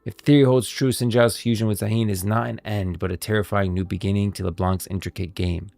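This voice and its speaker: A male streamer with a low voice full of fry talks through game lore in a casual way. The sound is dry and close, with music underneath. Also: steady tone, fast, darkish tone, no room sound.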